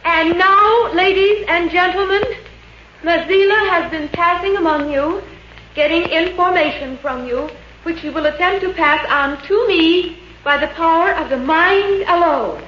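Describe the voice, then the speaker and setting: A woman playing a solemn, showy stage mind reader who declaims grandly, in 1950s radio comedy style. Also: very high voice. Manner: drawn-out, grandly declaimed delivery. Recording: muffled, hissy 1950s radio recording, roomy.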